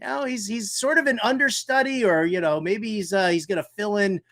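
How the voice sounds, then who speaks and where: An American man hosting a fantasy football talk show, animated and theatrical, with colloquial, wisecracking delivery. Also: high-pitched, fairly quick. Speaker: sports talk show host.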